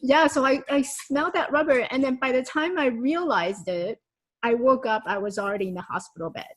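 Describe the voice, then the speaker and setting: A woman's voice: high voice, brisk, poor audio. A woman from Taiwan, a confidence coach, telling a personal story as a podcast guest in a casual, conversational way with frequent "like" and "you know".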